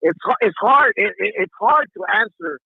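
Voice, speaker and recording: A man's voice: high voice, animated, moderate pace, gravelly, slightly rough, no echo. A man from Mexico arguing heatedly on a live stream, stammering, repeating words and pressing with "right?". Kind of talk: heated argument. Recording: thin phone-like line.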